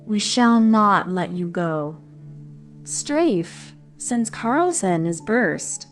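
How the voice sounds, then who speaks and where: A vindictive German woman, as a woman reading puts her on, stern and slow, pressing each word loudly. Faint music sits under this storybook reading. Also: mid-range voice.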